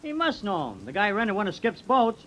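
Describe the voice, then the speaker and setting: Cocky young American no-good, defensive and swooping, high-voiced, faintly noisy 1940s radio drama. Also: male voice, wildly swooping pitch, moderate pace, sharp tone, exaggerated voice. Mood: evasive. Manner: slangy, defensive, evasive. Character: cocky, shifty young loafer in his twenties.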